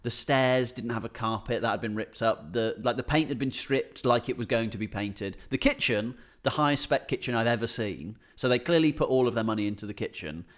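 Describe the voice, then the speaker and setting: British man, medium pitch, comedian co-hosting a podcast. Loose, chatty storytelling with frequent chuckles and casual swearing. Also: a little fast, hardly any pauses. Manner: chuckles often while talking, swears casually. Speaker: podcast co-host, stand-up comedian. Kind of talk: casual comedic chat, telling anecdotes.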